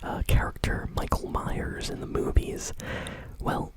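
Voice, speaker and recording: A woman hosting gaming news in ASMR style, whispering in a casual, conversational manner. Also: measured pace. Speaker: host of a gaming news show.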